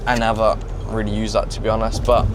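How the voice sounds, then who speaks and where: A man's voice: on the low side, completely flat delivery, fast, noisy background. Chatty young man vlogging on the go. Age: 20s. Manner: chatty, talks straight to viewers. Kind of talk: casual vlog chat. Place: back seat of a car, then a busy mall.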